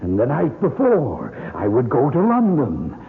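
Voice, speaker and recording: An actor playing a mad, murderous manservant and body snatcher in a gloating, theatrical radio mystery style. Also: male voice, mid-range voice, wildly swooping pitch, measured pace. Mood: gloating confession. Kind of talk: old-time radio mystery acting. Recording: narrow-band vintage radio sound.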